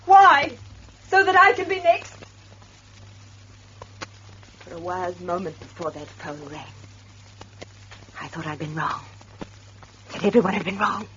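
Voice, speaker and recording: An actress playing a wavering, emotional wife in a theatrical old radio melodrama style. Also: female voice, mid-range voice, animated, a little slow, long pauses, fairly bright tone, a little hiss or hum. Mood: anguished, horrified. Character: distraught, wavering wife of an ex-convict. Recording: muffled old radio recording.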